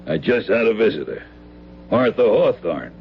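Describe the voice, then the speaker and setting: A man's voice: fairly low pitch, very animated, normal speed, slight background noise. A man acting a suave, guilty man prone to hysterical terror in an old-time radio drama. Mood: smooth, confident. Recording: dull old radio recording, loud music.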